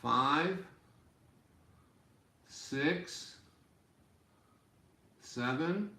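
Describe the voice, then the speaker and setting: A man in his sixties giving an informal music lesson, explaining deliberately and step by step in a small room. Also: a little high, sharp tone. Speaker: music teacher. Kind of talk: informal instructional explanation at a whiteboard. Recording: roomy, echoey home room sound.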